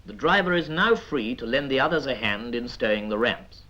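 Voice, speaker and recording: A British man reads scripted 1950s military training film narration in a measured, instructive manner with dry asides. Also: mid-range voice, animated, bright, forceful tone. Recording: dull vintage 1950s film soundtrack.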